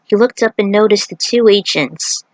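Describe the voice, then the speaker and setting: A woman narrates a written story in a mid-pitched voice. The sound is dry, with very little room around it, and she occasionally trips over a word as she reads. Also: moderate pace, no echo, low-quality recording. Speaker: narrator reading own fan fiction.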